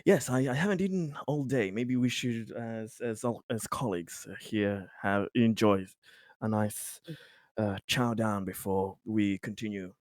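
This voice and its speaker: A man playing an awkward, overly polite corporate man in a tabletop game, talking in halting, long-winded small talk. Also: fairly low pitch, a little mellow, no room sound, studio quality, natural voice. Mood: ingratiating. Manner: halting, wordy, falsely casual small talk.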